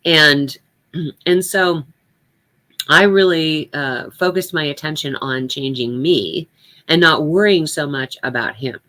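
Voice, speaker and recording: A woman's voice: deep voice, slow pace, fairly bright tone. An older woman giving a reflective personal talk, speaking candidly and conversationally with emphasis on her points.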